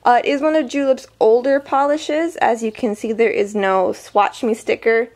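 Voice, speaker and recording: A woman's voice: on the high side, fairly even intonation, normal speed, a little mellow. A woman vlogger chatting casually through an unboxing review, her voice a little weak from a summer cold, full of ums and likes. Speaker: beauty vlogger.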